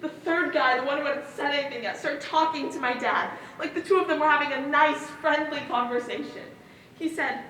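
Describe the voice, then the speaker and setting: A woman's voice: sits high, a little fast, pushed and bright. A woman acting a traumatised daughter who recounts a hostage ordeal in a projected, dramatic stage monologue. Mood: wry, tense. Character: traumatised daughter recounting being held hostage with her father. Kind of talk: one-act stage play monologue. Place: indoor stage in a large reverberant hall. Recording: distant echoey hall, some background noise.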